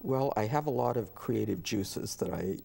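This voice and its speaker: Husky, low-voiced older man with some creak. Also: expressive, normal speed, mellow. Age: middle-aged or older. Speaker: guest, community theater director. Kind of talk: talk show interview. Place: TV studio.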